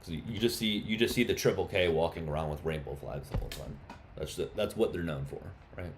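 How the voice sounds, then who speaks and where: Low-voiced man hosting a live stream. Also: quick pace, soft background music. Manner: chatty, turns heated and insistent in arguments. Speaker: livestream host. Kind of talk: casual live-stream chat and debate.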